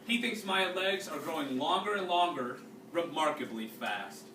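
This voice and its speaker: A man reading a storybook aloud to a class as their teacher, pausing to explain and ask questions. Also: a little high, animated, bright, forceful tone. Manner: instructive, checking understanding with questions. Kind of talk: read-aloud with explanatory asides and questions to pupils. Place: indoors, classroom. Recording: roomy, distant mic, faint background noise.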